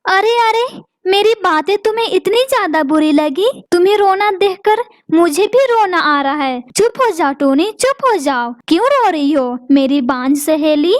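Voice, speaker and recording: A woman's voice: very high voice, expressive, measured pace, few pauses. Woman playing a sneering, spiteful pigeon. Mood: mocking. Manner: taunting, sneering. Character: spiteful, mocking female pigeon.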